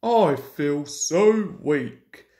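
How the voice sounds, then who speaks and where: A woman's voice: low-pitched, lively intonation, very slow, warm tone, echoey room, heavily acted. A woman voicing a proud, pompous male steam engine in a children's storybook, grumbling and haughty. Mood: dismayed.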